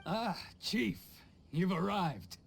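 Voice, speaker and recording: A man's voice: high voice, expressive, slow pace, creaky, a little hiss or hum, exaggerated voice. An actor playing a greedy, whining corrupt male tax official in a video game, with a blustering, defensive manner. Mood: fawning. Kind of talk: English video game dub acting.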